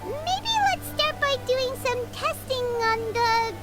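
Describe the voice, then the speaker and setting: A woman voicing a small, cheerful fairy companion in a video game, squeaky, childlike, chirpy and eager. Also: lively intonation, takes it slowly, exaggerated voice. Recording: music behind the voice.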